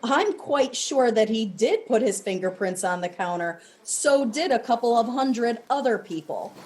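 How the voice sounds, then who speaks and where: A woman's voice: on the high side, expressive, measured pace, darkish tone. A woman playing a blunt, matter-of-fact police detective with dry delivery in an audio drama.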